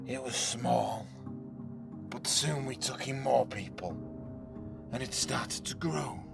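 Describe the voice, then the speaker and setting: A man's voice: mid-range voice, creaky, over music. An actor playing a menacing, sadistic older survivor leader in an audio drama, taunting and swearing as he talks. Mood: bitter, brooding. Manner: slow, taunting, sweary delivery.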